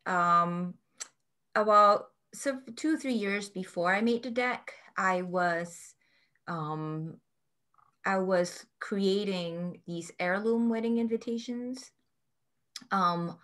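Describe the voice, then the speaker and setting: Woman from Malaysia, forties, bright low voice, relaxed rambling interviewee. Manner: warm, chatty storytelling with frequent ums and quick laughs. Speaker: tarot deck creator and artist. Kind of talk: casual interview answers.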